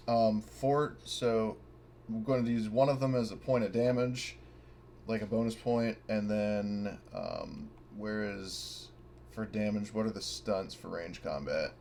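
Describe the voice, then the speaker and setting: Lowish-voiced man chatting over a game. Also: lively intonation, takes it slowly, a little mellow. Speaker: tabletop game player. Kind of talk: casual game-table chat. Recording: clear background noise.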